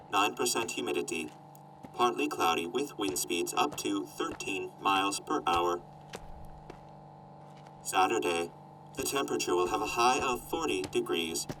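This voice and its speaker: A man's voice: fairly low pitch, sharp tone, far from the mic, a little hiss or hum, poor audio. A man voicing a formal, emotionless robot companion announcing in a scripted post-apocalyptic audio drama. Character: robot companion delivering clipped, formal weather forecasts.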